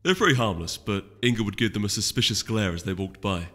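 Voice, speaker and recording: A man's voice: on the low side, expressive, fast talker, fairly bright tone. A man narrating a scripted story as an audiobook reader. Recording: echoey hall reverb, music behind.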